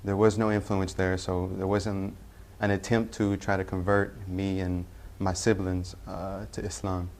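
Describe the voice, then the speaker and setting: A young man from Tennessee, telling his personal story in a halting, unhurried way with many restarts. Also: deep voice, little pitch movement, a little mellow. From Tennessee, USA. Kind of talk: personal testimony talk.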